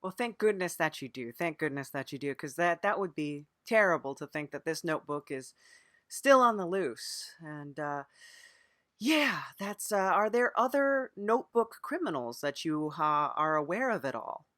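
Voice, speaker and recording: A man hosting a mock-serious improvised comedy interview, hesitant and deadpan as he earnestly plays along. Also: fairly high pitch, moderate pace, dry recording, studio quality. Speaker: podcast interviewer.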